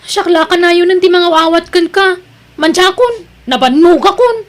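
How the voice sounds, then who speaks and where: High-pitched, stubborn young wife played by a woman. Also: moderate pace, faint music behind. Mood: resentful. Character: discontented young wife and mother, stubborn and defiant. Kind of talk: Ilocano audio drama acting.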